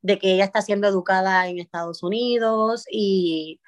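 A woman from Puerto Rico with a Puerto Rican Spanish accent, a guest on a podcast, talking in a reflective way with pauses and restarts. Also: mid-range voice, fairly even intonation, no room sound. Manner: thoughtful, with frequent pauses and restarts. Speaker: podcast guest, parent coach. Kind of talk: reflective conversational interview.

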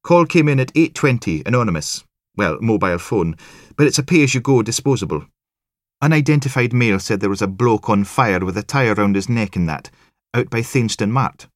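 A male narrator plays a cocky young Scottish Highlands policewoman in a crime audiobook. The voice is sing-song and eager, lifted well above the reader's own, with a brisk, keen delivery. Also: animated, dry recording, clean studio sound, natural voice.

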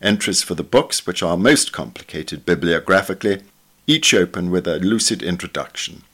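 A man reading a scripted article aloud in a measured, formal, bookish manner. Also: low voice, a bit bright. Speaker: reader.

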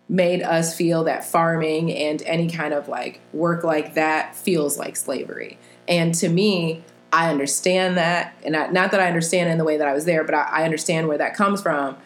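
A woman's voice: low-pitched, steady tone, measured pace, soft background music. A woman talking earnestly and thoughtfully as a guest in a casual conversation, laughing easily. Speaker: podcast guest. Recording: roomy echo.

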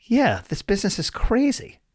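A man in his forties, a stand-up comedian talking casually as an interview guest, telling stories in an animated way and acting out dialogue. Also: high voice, moderate pace, breathy edge. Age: 40s. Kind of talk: casual conversational storytelling.

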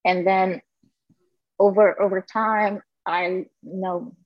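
A woman guest answering interview questions in a playful, deadpan way with many fillers. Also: medium pitch, slow, no room sound, lo-fi sound.

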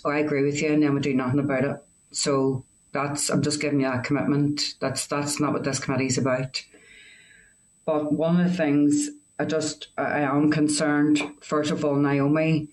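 A man speaking earnestly as a committee member, halting with restarts and fillers. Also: a little high, poor audio. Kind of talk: committee meeting remarks.

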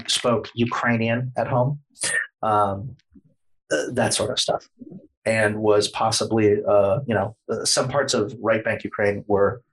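A man's voice: on the low side, slightly rough, rough recording. A man talking as a podcast guest, explaining history at length in a lecturing way, hedging often with plenty of fillers.

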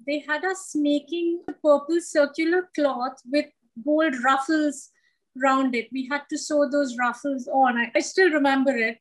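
Middle-aged woman, slightly high voice. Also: rough recording. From Sri Lanka and India. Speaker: interview guest. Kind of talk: conversational interview answers.

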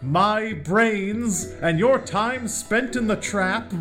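A man's voice: high voice, very slow, a bit bright, faint music behind, full character voice. A man playing a polite, educated, slightly prim and earnest sidekick in a comic audio play.

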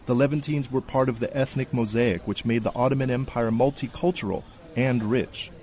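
A male correspondent reads a scripted radio report. His voice sits at a middle pitch with a measured, even reading.

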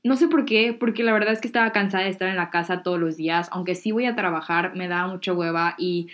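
A woman's voice: lowish voice, fast talker, fairly bright tone. Chatty Mexican teen girl vlogging. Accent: Mexican Spanish. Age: teens. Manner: chatty, candid, self-deprecating, uses Mexican slang. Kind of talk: casual talk to camera.

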